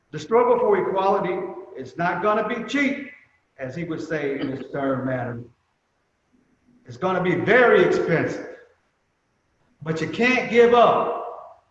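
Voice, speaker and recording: A man giving prepared remarks as a museum representative at a commemoration, speaking in a measured, emphatic way with deliberate pauses. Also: a little high. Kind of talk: prepared speech at a virtual commemoration. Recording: very echoey reverberant room, muffled, faint noise.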